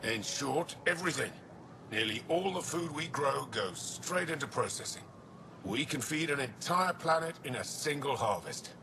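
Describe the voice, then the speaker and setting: An actor voicing a hospitable alien bar keeper in a video game, helpful and matter-of-fact. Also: male voice, medium pitch, lively intonation, normal speed, creaky, noisy background. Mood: welcoming. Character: hospitable male alien bar keeper, proud of his food.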